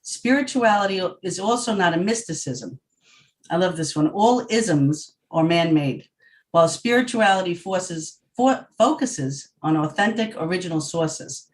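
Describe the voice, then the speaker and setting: A woman's voice: fairly low pitch, lively intonation, poor audio. Friendly New woman co-host. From New York, USA. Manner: friendly, conversational, slightly halting. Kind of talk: hosting an online discussion. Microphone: video call.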